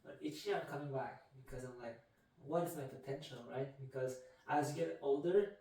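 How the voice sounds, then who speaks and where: A man in his twenties from Canada, whose first language is Vietnamese, answering interview questions about running in a measured, reflective way. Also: on the high side, warm tone. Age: 20s. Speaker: guest, marathon runner. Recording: echoey room, mic from a distance.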